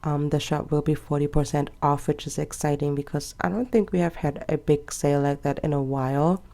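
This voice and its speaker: Low-voiced chatty woman in her twenties. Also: fairly even intonation, a little fast, vocal fry. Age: 20s. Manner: chatty and rambling, with soft laughs. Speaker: planner vlogger. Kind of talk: casual chat while planning.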